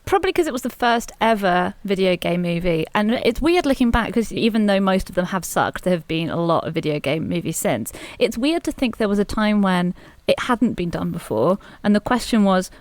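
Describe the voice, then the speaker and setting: A woman speaks in a medium-pitched voice with a very dry, close sound. She co-hosts a podcast, laying out film history in an analytical, conversational style. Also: lively intonation, a little fast, dry recording. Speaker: podcast co-host.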